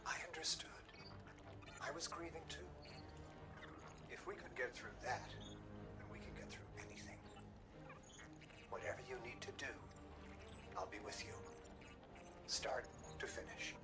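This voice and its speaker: An actor playing a loyal, gentle machine companion in a video game, tender and steadfast in his reassurance. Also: medium pitch, animated, a little slow, long pauses, lightly acted. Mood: tender, encouraging. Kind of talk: video game cutscene acting. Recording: distant voice under music.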